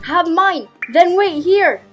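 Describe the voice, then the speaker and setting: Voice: very high voice, takes it slowly, a bit bright, faint music behind, heavily acted. A woman voicing an exasperated, urgent young Viking boy in an audio play.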